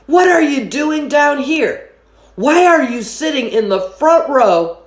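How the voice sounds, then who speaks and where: A woman reading as an excitable, exasperated fourth-grade boy, animated and incredulous. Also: high voice, slow, reverberant, pitched above the performer's own voice.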